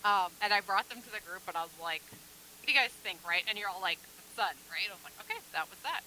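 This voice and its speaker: A woman's voice: medium pitch, lively intonation, fast talker, sharp tone, a little hiss or hum, low-quality recording. A woman guest quilt designer in a casual chat, talking in an easygoing, hesitant way with frequent ums.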